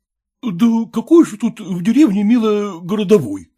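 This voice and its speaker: An easily outraged gentleman husband from a storybook , acted by a man. His voice is high and animated with a slightly husky edge. He speaks curtly and imperiously, full of exasperated bluster. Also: normal speed. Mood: indignant.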